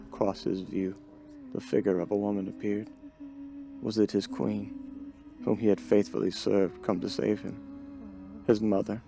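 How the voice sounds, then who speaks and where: Deep, gravelly man narrating a dark tale. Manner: ominous, theatrical. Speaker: storyteller, narrator. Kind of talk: dramatic scripted horror narration. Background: music under the voice.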